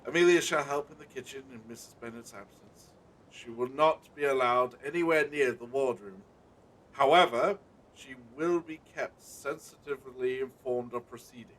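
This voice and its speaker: A man playing a dutiful village gentleman and husband in a period audio drama, formal and placating. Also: normal speed, close to an everyday voice, rough recording. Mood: earnest, firm. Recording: faint noise with low rumble.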